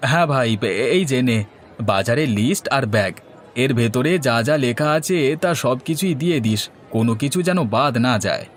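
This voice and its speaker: A man's voice: medium pitch, lively intonation, moderate pace, natural voice. A man voicing a worrying, big-eating village husband and uncle in a cartoon. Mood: fretful, chatty. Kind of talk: cartoon dialogue. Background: instrumental music.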